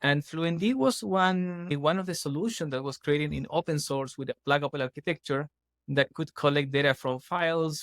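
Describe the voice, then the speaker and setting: A man's voice: fairly high pitch, a bit bright, no room sound, studio quality. Man. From Chile. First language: Spanish. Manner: earnest, explaining at length with restarts and fillers. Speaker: guest, startup CEO. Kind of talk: casual podcast interview.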